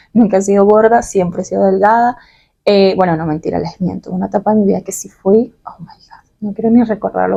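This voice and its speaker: A woman's voice: mid-range voice, creaky, dark tone. A woman from Venezuela with a Caracas accent, chatting casually and confidingly about herself in a personal vlog, stretching words for emphasis. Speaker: vlogger answering personal questions.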